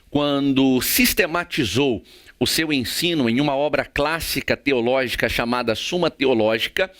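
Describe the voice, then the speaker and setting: A Brazilian man giving a prepared theology lecture in a formal, didactic way with measured phrasing. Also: medium pitch, fairly bright tone. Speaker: theology teacher.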